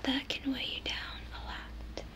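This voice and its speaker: A young woman in a whispery, breathy voice, gently and haltingly guiding the listener through an ASMR role play. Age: young adult.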